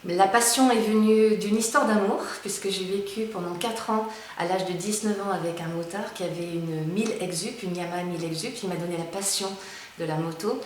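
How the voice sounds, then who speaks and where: A woman's voice: mid-range voice, a little fast, darkish tone, slight background noise. Woman in her fifties, very echoey. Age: 50s. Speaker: motorcyclist interviewee. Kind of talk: interview answers. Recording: very echoey, distant mic.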